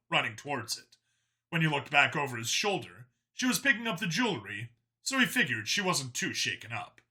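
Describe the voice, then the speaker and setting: A man's voice: a little high, pushed and bright. A man narrating a science fiction audiobook in a dramatic, scripted storytelling style.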